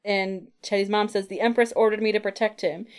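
A woman's voice: medium pitch, steady tone, fairly quick, clear, resonant tone. A woman co-hosting a podcast, recapping a comic book in casual, conversational talk. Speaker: podcast co-host.

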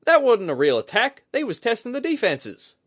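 Man voicing a high, snappish seafaring woman. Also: wildly swooping pitch, moderate pace, fairly bright tone, heavily acted. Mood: defiant. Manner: snappish, rough colloquial grammar. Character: hot-tempered, blunt seafaring woman, sharp-tongued and folksy.

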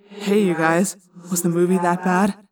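A woman's voice: on the low side, level tone, unhurried, distant mic, over music, natural voice, rough recording. A woman voicing a casual teenage boy lookout who reports in slangy speech in an audiobook. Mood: alert, casual.

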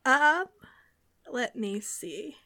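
A woman's voice: a little high, expressive, drawn-out words, bright, forceful tone. A woman running a tabletop game, chatting casually about the session, with many filler words and easy laughter. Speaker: game master. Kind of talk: casual podcast chat after a tabletop game. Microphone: video call.

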